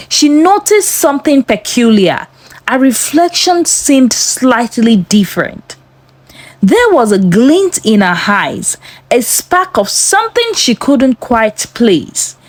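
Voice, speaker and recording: A woman narrating a scripted suspense tale in a measured, dramatic storytelling manner. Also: on the high side, no echo.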